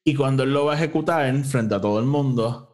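A man's voice: mid-range voice, fast talker, a little mellow. A Puerto Rican man co-hosting a podcast, casually recapping a story and slipping between Spanish and English. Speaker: podcast co-host.

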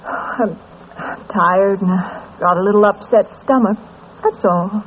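A woman playing a weary, gentle, ailing mother in a 1940s radio drama. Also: mid-range voice, unhurried, lightly acted. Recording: tinny, noisy old radio recording.

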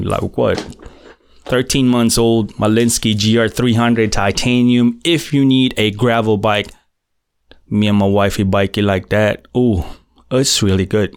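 A middle-aged Filipino man, a native Tagalog speaker, hosting his own podcast in relaxed, slangy chat with plenty of fillers. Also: on the low side, clean tone, no room sound, studio quality. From Philippines. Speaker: podcast host. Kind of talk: casual solo podcast chat.

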